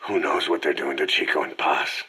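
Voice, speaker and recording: A man playing a terse, stoic veteran commander with a gravelly, raspy voice in a game drama. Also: rumbling low, fairly even intonation, moderate pace, bright, forceful tone, full character voice. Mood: grim.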